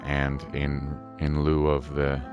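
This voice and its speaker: A man streaming a game, giving casual, rambling commentary and thinking out loud in a chilled way. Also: very deep voice, completely flat delivery, slow pace, highs cut off. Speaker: gaming streamer. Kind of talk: casual live game commentary, musing aloud. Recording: game music behind the voice.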